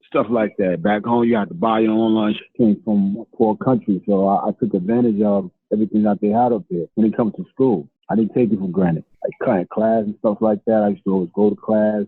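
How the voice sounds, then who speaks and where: Man from Honduras, gravelly voice, earnest talk, tinny phone-like sound. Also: on the low side, animated, fairly quick, few pauses, no room sound. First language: Spanish. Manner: earnest, repeats words when starting. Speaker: guest, hip-hop artist. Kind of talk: casual interview answers. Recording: tinny phone-like line, dry.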